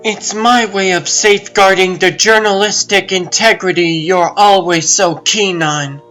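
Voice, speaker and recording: A man's voice: sits high, fairly even intonation, unhurried, pushed and bright, faint music behind. A man voicing a confident, ambitious woman reporter in a comic book dub, with an insistent, emphatic delivery. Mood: persuasive. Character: confident, ambitious adult woman reporter arguing her case.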